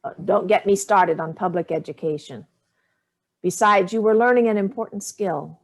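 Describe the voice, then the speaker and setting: A woman's voice: mid-range voice, normal speed, lightly acted. Actress as a cutting, hard-drinking older mother, dry and sardonic. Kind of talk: staged play reading.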